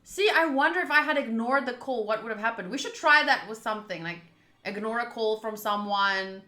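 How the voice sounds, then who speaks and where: A woman's voice: fairly high pitch, fairly quick, pushed and bright. A chatty woman doing casual playthrough commentary, thinking aloud and reading game text. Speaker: host of a visual novel playthrough. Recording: roomy echo, game music behind. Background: game music.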